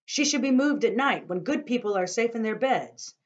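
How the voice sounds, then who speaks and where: A woman reading aloud, voicing a stern old grandfather who protests loudly and gives orders. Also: on the high side, lively intonation, normal speed, muffled recording, voice pushed up, natural voice. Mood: indignant. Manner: loud, protesting, commanding.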